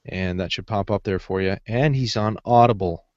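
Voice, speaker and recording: A man's voice: on the low side, normal speed, darkish tone, no echo. A man chatting casually as a podcast co-host. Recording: dull, dry lo-fi online-call audio.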